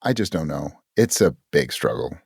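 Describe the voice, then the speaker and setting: A man's voice: extremely low pitch, very animated, moderate pace, vocal fry, darkish tone, husky, no echo, studio quality. A man talking casually as an interview guest, candid and self-questioning, often acting out his own thoughts. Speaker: interview guest, audio drama creator. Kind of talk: casual conversation.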